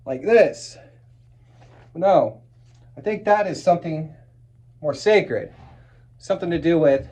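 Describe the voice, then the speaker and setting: A man's voice: fairly high pitch, darkish tone. A middle-aged man from the United States casually showing and explaining a wartime flag on camera, blunt and profane, with wry asides. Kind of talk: casual show-and-tell vlog.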